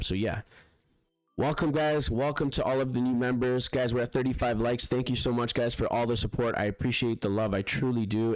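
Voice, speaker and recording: A man talks through market charts on his live stream in a relaxed, rambling way, calling his viewers "guys" and checking in with "right?". His voice sits on the low side. The sound is close and dry, with almost no room in it. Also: no room sound. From Spanish-speaking background. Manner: chatty, repeats "guys" and "right?", frequent fillers and restarts. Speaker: forex trading livestream host. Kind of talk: casual live-stream commentary over charts.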